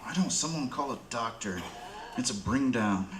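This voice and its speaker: A man playing a world-weary, soft-hearted drug addict in a radio play, talking in halting slang. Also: medium pitch, lively intonation, close to an everyday voice. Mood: irritated, weary. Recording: roomy, faint background noise.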